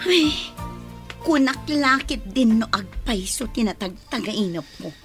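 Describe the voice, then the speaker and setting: A woman's voice: a little high, animated, a little slow, a bit bright, soft background music. An actress voicing a defensive, complaining stepmother in a radio drama.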